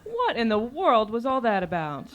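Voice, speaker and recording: A woman's voice: on the high side, wildly swooping pitch, moderate pace, a bit bright, highs cut off, a little hiss or hum. A woman playing a detective's coy, affectionate and teasing sweetheart in a 1940s style radio drama on stage. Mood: puzzled. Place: live stage performance in a theater hall.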